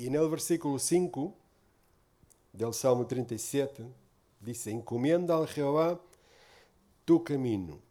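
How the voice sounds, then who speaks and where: A man's voice: medium pitch, mellow. A man from Portugal preaching in Spanish with a Portuguese accent, giving an earnest, informal sermon full of tag questions.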